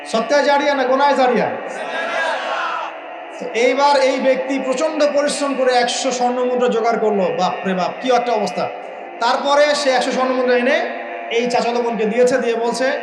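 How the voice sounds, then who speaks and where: High-pitched man preaching, echoing. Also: noisy background. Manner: emphatic, rhetorical, repeats key phrases. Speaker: Islamic preacher. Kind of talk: sermon with storytelling and questions to listeners. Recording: echoing voice with music laid under. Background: background music.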